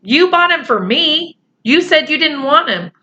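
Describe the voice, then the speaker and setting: A woman's voice: high voice, expressive, measured pace, pushed and bright, pitched above the performer's own voice, full character voice. A woman reading aloud and voicing an anxious, bossy boy who scolds and argues with his friend. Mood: arguing. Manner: scolding, lecturing. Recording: slightly muffled home recording.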